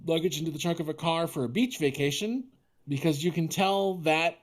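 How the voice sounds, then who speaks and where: A woman's voice: deep voice, moderate pace. A woman hosting a casual comedic table read, narrating stage directions with irreverent, profane joking asides. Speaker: podcast host reading stage directions. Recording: live group online table read.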